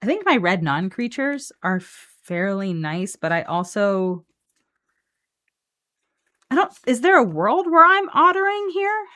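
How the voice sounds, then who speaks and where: A woman chatting casually on a live game stream, playful and animated as she thinks out loud. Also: fairly high pitch, slow pace. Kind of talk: casual live-stream chat while building a card deck.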